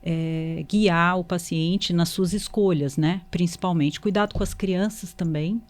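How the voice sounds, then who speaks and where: A woman's voice: lowish voice, fairly even intonation, a little slow, darkish tone. A woman with a Brazilian Portuguese accent explaining and advising as a medical guest, conversational and instructive, often adding short check-in questions. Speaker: guest, dermatologist. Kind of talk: conversational expert explanation and advice.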